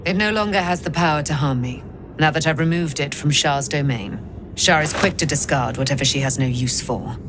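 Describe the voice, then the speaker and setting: Low-voiced, solemn celestial warrior woman actor. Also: background noise, close to an everyday voice. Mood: grave, commanding. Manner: measured, grave, declarative. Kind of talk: dramatic video game acting.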